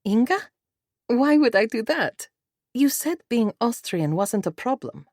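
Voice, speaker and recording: A woman voicing an earnest, gentle young bride who speaks in a questioning way, as an audiobook character. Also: a little high, sing-song, measured pace, dry recording, studio quality, voice pushed up. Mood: questioning, uneasy.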